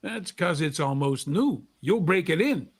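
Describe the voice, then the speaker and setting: Man as pushy shopkeeper, high creaky voice, salesman patter, radio play. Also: expressive, a little slow, heavily acted. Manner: haggling, salesman-like. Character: pushy, wheedling second-hand shop keeper. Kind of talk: vintage radio drama acting.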